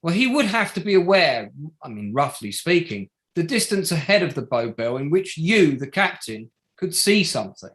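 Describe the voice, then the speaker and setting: A man acting a barrister cross-examining a witness in a courtroom drama. He is formal, deliberate and pointed, in a fairly high male voice, steering with leading questions. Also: very animated, fairly bright tone. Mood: pointed, critical. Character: pointed, methodical male barrister for bereaved families. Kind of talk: courtroom drama table read.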